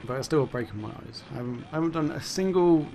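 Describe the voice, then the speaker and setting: Rambling gravelly-voiced man, voice going. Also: mid-range voice, lively intonation, quick pace, background noise. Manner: rambling, self-correcting, swears then laughs it off. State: losing his voice. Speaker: gaming YouTuber. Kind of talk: casual commentary monologue.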